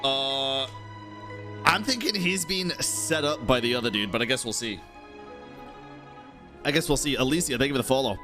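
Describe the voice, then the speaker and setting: A woman's voice: extremely low pitch, animated, moderate pace, long pauses, music in the background. A woman doing casual live game commentary, slangy and wry as she reacts aloud. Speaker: game streamer.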